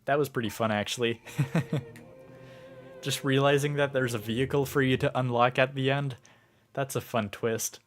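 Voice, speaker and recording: Sick streamer dude thinking out loud, lots of ums, mid pitch, faint game music behind. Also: male voice, fairly even intonation, moderate pace, pauses often. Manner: rambling, musing aloud with many fillers. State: sick, starting to lose his voice. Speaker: gaming livestreamer. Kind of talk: casual gameplay commentary.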